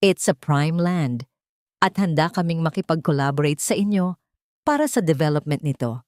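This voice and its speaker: A woman voicing an eager, greedy businessman in a dramatic story, smooth-talking and persuasive. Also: deep voice, animated, moderate pace, dry recording, studio quality.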